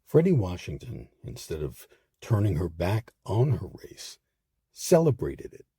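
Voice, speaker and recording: A man hosting and narrating a scripted history program with deliberate, dramatic phrasing. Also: low voice, very animated, mellow, husky, no room sound, studio quality. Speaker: host and narrator.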